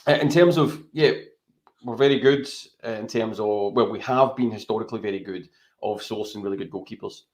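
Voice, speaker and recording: A man's voice: lowish voice, a little fast, a little mellow. A Scottish man talking football on a podcast in a chatty, rambling way, often tagging his points with "right?". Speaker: podcast co-host. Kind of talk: casual football chat.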